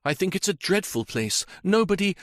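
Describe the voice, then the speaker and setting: A man voicing a sharp-tongued poised widow. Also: sits high, sing-song, normal speed, no room sound, studio quality, pitched above the performer's own voice. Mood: sly. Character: poised, faintly spiteful young widow. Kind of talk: audiobook character voice.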